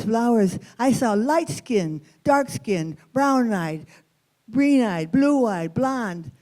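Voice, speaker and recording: A woman storyteller from East Los Angeles, with an accent from there, telling a personal story expressively, weaving in Spanish words and translating them. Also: fairly high pitch.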